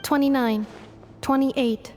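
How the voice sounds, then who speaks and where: Calm female game robot, fairly high voice, formal, clipped, matter-of-fact, music behind. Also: slow, in a large hall. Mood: calm, methodical. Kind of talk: video game voice acting.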